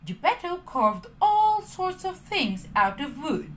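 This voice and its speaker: Storyteller woman, slow and very expressive, a little high, children's read-aloud. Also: a little hiss or hum. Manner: animated, addressing children.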